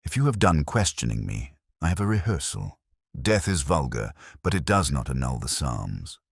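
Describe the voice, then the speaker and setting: A man voicing a belligerent, pompous church organist in an audiobook mystery, sharp and disdainful in manner. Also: very deep voice, big pitch swings, moderate pace, vocal fry, husky, no room sound, clean studio sound, exaggerated voice. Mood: snapping, defensive. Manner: sharp, snapping, disdainful, theatrical.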